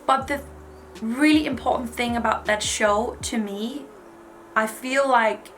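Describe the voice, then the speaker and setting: A woman's voice: a little high, a little slow, fairly bright tone, slight background noise. A woman, giving frank, chatty advice to camera with lots of "like" fillers. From Denmark. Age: 20s. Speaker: vlogger. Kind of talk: casual advice talk to camera.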